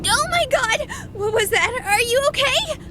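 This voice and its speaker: Very high-pitched, timid young woman. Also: animated, unhurried, very noisy. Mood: panicked, worried. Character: timid, earnest, anxious young woman traveller. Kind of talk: visual novel voice acting.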